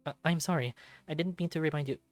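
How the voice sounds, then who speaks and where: A man's voice: fairly high pitch, fast, no room sound, voice pushed up, close to an everyday voice. Young male visual novel hero read by a man: raised youthful voice, hesitant, earnest, easily flustered. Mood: hesitant, apologetic. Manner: hesitant, apologetic.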